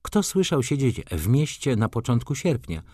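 A man voicing an imperious, flirtatious society lady from a novel, commanding and theatrical, quick to scornful indignation. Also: mid-range voice, big pitch swings, darkish tone, breathy edge, no echo, clean studio sound, pitched above the performer's own voice. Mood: teasing, bossy.

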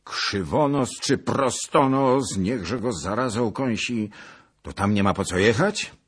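A man's voice: fairly low pitch, unhurried, husky, pitched above the performer's own voice. A man voicing a blustering older nobleman in a historical storybook, exclaiming and grumbling in colourful language. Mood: irritated.

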